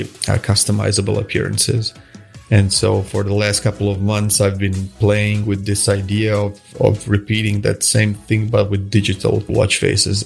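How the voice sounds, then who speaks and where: A man's voice: lowish voice, vocal fry. A middle-aged man from Croatia, a native Croatian speaker, answering interview questions in a hesitant, casual way with many restarts. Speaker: guest, watch face designer.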